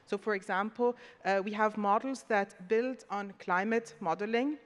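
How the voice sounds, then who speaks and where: A woman's voice: mid-range voice, steady tone, normal speed. A woman lecturer on a conference panel, laying out examples in an organized way. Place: conference hall. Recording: long reverb from a large hall.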